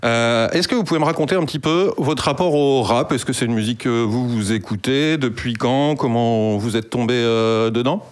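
A man's voice: mid-range voice, normal speed, few pauses. A man hosting an interview in a casual, conversational way, asking questions.

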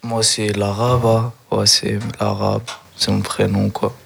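A man's voice: fairly low pitch, little pitch movement, takes it slowly, creaky, slight background noise. A teenage guy from the Paris suburbs, a young rapper giving short, laconic answers in an interview. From Seine-Saint-Denis, France.

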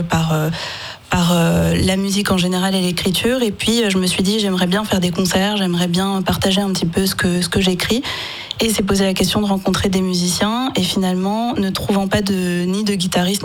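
A woman singer-songwriter answering questions in a casual radio interview, thoughtful and hesitant, with many fillers. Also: fairly low pitch. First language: French.

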